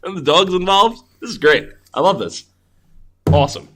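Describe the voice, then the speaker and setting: A man co-hosting a podcast in playful, expressive casual chat, often repeating short phrases for emphasis. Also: sits high, moderate pace, heavy background noise. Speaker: podcast co-host. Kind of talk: casual chat about beer and films.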